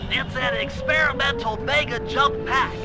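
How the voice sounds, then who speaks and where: A man's voice: very high voice, normal speed. A man voicing a nerdy, brainy turtle planner in a cartoon video game, explaining things in a precise, technical way. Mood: businesslike. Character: nerdy, brainy male turtle gadget expert, anxious planner. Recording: voice under loud music. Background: loud game music.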